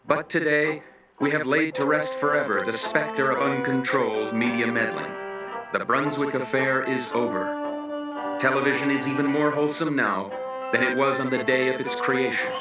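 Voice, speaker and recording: An actor playing a pompous male government official giving an oratorical speech in an animated film. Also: medium pitch, normal speed, loud music behind the voice, lightly acted. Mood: grandiose. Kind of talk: public address. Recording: echoey, distant address.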